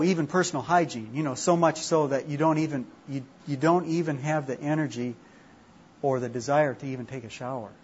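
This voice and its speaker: A man's voice: medium pitch, darkish tone, lo-fi sound, slight background noise. A man from Minnesota with a Minnesota accent, leading an informal class discussion in a chatty, self-deprecating way. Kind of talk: informal group teaching.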